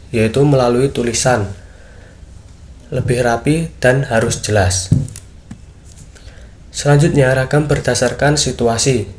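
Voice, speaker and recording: A teenage boy talking through a school presentation in a mid-pitched voice. He explains each point earnestly and plainly, now and then pausing to restart a phrase. A faint noise sits under the recording. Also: a little mellow. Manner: earnest, with frequent fillers and restarts. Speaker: student presenter. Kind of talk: class presentation, explaining material.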